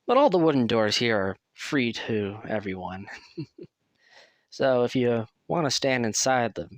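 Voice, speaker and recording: Man playing a slow-talking, wry asylum patient. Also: mid-range voice, sing-song, dry recording. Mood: wry, confiding. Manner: chatty, rambling, sardonic, swears casually. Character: talkative asylum patient guiding a newcomer, wry and crude. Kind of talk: acted audio roleplay addressed to the listener.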